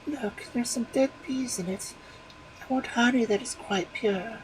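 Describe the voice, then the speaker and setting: A storyteller voicing a fussy, demanding young wife who complains in a high voice. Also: measured pace, noisy background, natural voice. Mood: disgusted.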